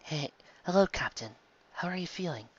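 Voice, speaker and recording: A woman voicing a calm, composed female cartoon pirate who helps as a nurse, speaking softly. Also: low voice, normal speed, slightly rough, dry recording, muffled recording, voice pushed up. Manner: soft, composed.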